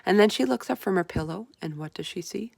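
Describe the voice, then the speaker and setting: A woman's voice: mid-range voice, lively intonation, fast talker, vocal fry, darkish tone, husky, dry recording. Woman reading as confident, bossy little orphan girl. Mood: scheming, instructing. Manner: firm, instructing, assured. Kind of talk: storybook read-aloud character voice.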